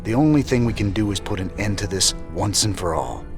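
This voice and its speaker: Deep-voiced rebel space commander, played by a man. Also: expressive, a little fast, over music, lightly acted. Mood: grave, resolute. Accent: American. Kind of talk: video game cutscene acting.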